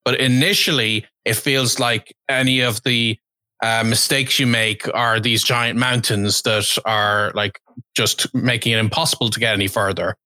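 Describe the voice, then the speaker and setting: A man's voice: medium pitch, steady tone, normal speed, pushed and bright, dry recording. A man hosting a podcast, welcoming a guest and asking conversational questions. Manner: friendly, conversational questions with fillers. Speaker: podcast host. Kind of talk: podcast interview.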